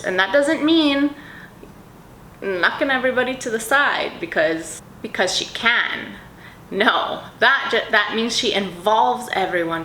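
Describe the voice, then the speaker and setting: A woman's voice: medium pitch, pushed and bright. A woman in casual vlog chat, bubbly and rambling, describing scents in a dreamy way with lots of fillers. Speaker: perfume vlogger. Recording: echoey room with faint ambient noise.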